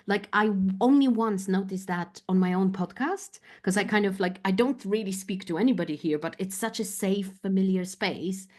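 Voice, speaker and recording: A woman from Poland whose first language is Polish, a guest talking reflectively in an interview and often pausing to find her words. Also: mid-range voice, a little mellow. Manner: thoughtful, searching for words, many fillers. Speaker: guest, business coach.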